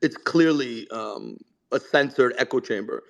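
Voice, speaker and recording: A man's voice: mid-range voice, lively intonation, measured pace, creaky, lo-fi sound. Argumentative man in a heated debate. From Israel. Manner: argumentative, defensive, repeating himself. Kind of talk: heated live online political debate. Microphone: online voice chat mic.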